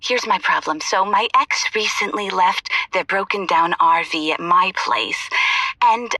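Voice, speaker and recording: Bright, gushing radio caller. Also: female voice, on the low side, expressive, a little slow, dry recording, distant mic, exaggerated voice, low-quality recording. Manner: gushing, coy, mock-sweet. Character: chatty, teasing woman caller to radio show. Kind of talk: comedy audio drama acting.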